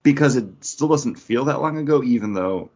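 A man co-hosting a podcast in a casual, chatty style, with a husky voice. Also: medium pitch, expressive, moderate pace, a little mellow. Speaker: podcast co-host. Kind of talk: casual chat. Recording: dull lo-fi audio.

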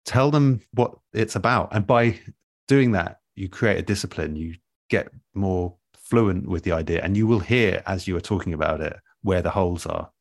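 A man in a relaxed interview, explaining things in a thoughtful, measured and earnest way as an experienced producer. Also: low-pitched, no echo, studio quality. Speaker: interview guest, audio drama producer. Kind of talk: relaxed advice-giving conversation.

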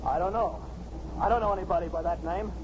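An actor in a 1950s radio drama playing a troubled, sullen young man, bitter and resentful, with halting delivery. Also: sits high, a little mellow, lightly acted. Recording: muffled, noisy 1950s radio recording.

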